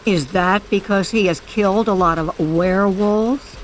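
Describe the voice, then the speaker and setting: With faint noise behind her, an actress plays a guarded woman in an audio drama. She speaks slowly in a mid-pitched voice, terse and stern about her past. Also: lightly acted. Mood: dry.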